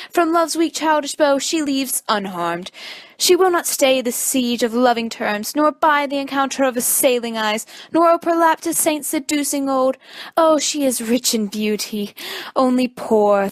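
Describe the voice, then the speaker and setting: A woman's voice: high voice, no echo. A woman performing a lovesick, melancholy young nobleman in an amateur Shakespeare reading, with plaintive, theatrical delivery.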